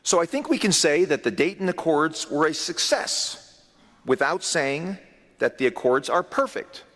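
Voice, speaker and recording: A man's voice: a little high, sing-song, a bit bright. Man speaking formally in a reverberant hall. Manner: measured, emphatic delivery with deliberate pauses. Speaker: U.S. official giving a speech. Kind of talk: formal prepared speech. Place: large conference hall. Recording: long reverb of a large hall.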